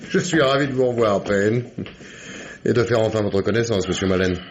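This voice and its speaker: An actor playing a stern, sarcastic police inspector in a French radio mystery, commanding and sardonic. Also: male voice, on the low side, fairly quick, natural voice. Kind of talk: French radio detective drama acting. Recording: dull, noisy old radio recording.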